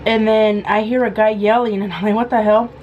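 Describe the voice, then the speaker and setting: A woman in her thirties with a hoarse, sore throat, telling a casual storytime vlog and acting out the quoted lines. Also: mid-range voice, a little fast, phone-quality sound, slight background noise. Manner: animated, acts out quoted lines. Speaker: vlogger.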